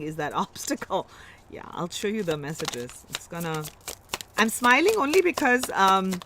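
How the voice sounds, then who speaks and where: A woman's voice: on the low side, background noise. A woman with an Indian accent giving a live tarot reading, musing aloud and reassuring her listeners, often breaking off and restarting. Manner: musing, warm, reassuring, with self-interruptions. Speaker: tarot reader.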